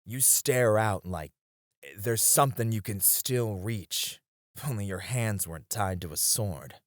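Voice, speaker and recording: Man acting as a slow-spoken, low-voiced weary warrior. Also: no room sound, clean studio sound, close to an everyday voice. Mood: wistful, tender. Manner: soft, wistful, with breathy chuckles and sighs. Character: weary young male warrior, tender, teasing sparring partner. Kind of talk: intimate ASMR romance roleplay acting.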